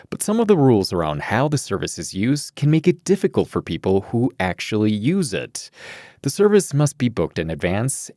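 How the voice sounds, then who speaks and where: A man's voice: lowish voice, darkish tone, no room sound. An American man hosting and reporting in a scripted public radio news style, close on a studio microphone. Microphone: close studio microphone, dry.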